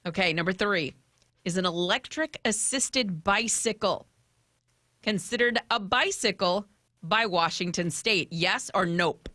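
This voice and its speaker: Bright, forceful woman hosting on radio. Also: medium pitch, unhurried, no room sound. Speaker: radio traffic reporter and quiz host. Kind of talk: lively unscripted radio chat and quizzing. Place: radio studio. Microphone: close studio microphone.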